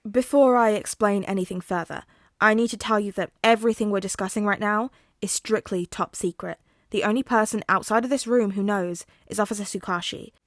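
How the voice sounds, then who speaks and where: A woman playing a guarded father, speaking in a measured, formal way as in an audiobook story. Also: medium pitch, no echo, studio quality, natural voice. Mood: grave, confiding.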